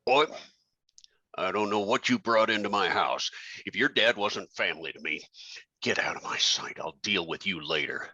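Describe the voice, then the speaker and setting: Man playing a menacing low-voiced crime boss. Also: lively intonation, pushed and bright, no echo, lo-fi sound, exaggerated voice. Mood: stern, menacing. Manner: deliberate, threatening. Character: menacing crime boss, older uncle, club owner. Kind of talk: scripted table-read drama acting.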